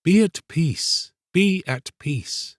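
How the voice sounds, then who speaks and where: A man's voice: fairly high pitch, expressive, slow pace, dry recording, clean studio sound, pitched above the performer's own voice. A man voicing a sly, soothing soul that tempts and urges in archaic storybook speech, drawing out its words coaxingly. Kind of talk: dramatised storybook reading.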